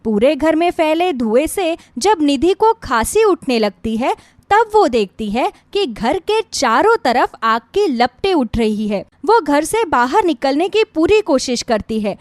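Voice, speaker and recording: A woman's voice: sits high, very animated, a little slow, dry recording. A woman narrating a scripted moral story as a storyteller.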